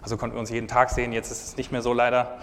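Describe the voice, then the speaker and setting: A man's voice: mid-range voice, completely flat delivery, very fast, fairly bright tone. Young man preaching in an echoing hall. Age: 20s. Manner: earnest, casual, often says "hey". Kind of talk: sermon with personal testimony. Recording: hall reverb, faint background noise.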